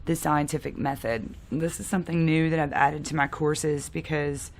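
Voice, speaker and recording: A woman in her thirties or forties lectures in a deep voice with a gravelly edge. She sounds stuffy from a cold, and her explanation runs with the odd um and a restarted phrase. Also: steady tone, normal speed, a little hiss or hum. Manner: explanatory, with filler ums and restarts. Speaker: psychology professor. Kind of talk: recorded lecture.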